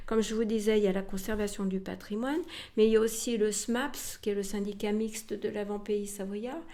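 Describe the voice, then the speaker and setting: A woman's voice: mid-range voice, warm tone. A woman speaking spontaneously as an interviewed museum representative, often repeating words and trailing into asides.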